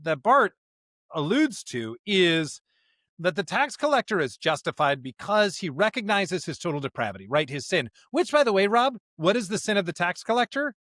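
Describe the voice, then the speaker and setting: High-pitched, animated man hosting a podcast. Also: normal speed, no echo, clean studio sound. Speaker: podcast host. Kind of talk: conversational theological commentary.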